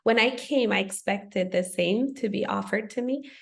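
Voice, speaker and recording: Woman from Ethiopia, podcast guest, earnest and reflective, mid-pitched voice. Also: moderate pace, vocal fry. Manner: thoughtful, earnest, with frequent fillers. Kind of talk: podcast conversation.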